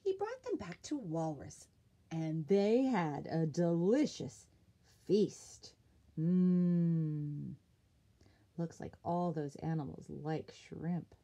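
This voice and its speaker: A woman's voice: low-pitched, expressive, slow, warm tone. A woman reading a children's picture book aloud expressively as a library storytime narrator.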